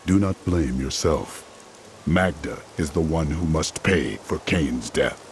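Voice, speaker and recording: An actor playing a stoic wandering hero who speaks calmly, tersely and with determination. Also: male voice, rumbling low, slow pace, a little hiss or hum, full character voice. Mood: resolute.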